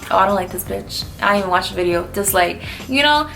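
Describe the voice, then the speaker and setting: A woman's voice: mid-range voice, expressive, quick pace, creaky, fairly bright tone, soft background music, rough recording. A twenty-one-year-old woman from New City, answering viewer questions in a blunt, slangy, laid-back vlog. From New York City. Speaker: vlogger. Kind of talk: casual Q&A vlog.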